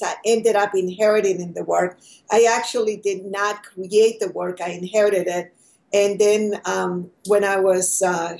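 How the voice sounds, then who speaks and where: A woman's voice: medium pitch, a little mellow. An older woman from Chile, speaking earnestly as a guest over a video call, telling her story in a reflective way.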